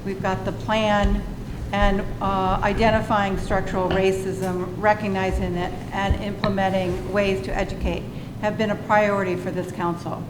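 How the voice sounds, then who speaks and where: A woman's voice: mid-range voice, little pitch movement, moderate pace. A woman running for city council, answering forum questions with frequent ums and uhs. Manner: hesitant, many ums and uhs. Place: public meeting hall. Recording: echoey hall with noise.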